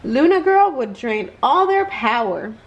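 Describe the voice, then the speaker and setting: A woman reads a children's bedtime story with lots of expression. Her voice is high and very lively in pitch, recorded with clear noise in the background. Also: a little slow, highs cut off. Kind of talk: expressive bedtime read-aloud.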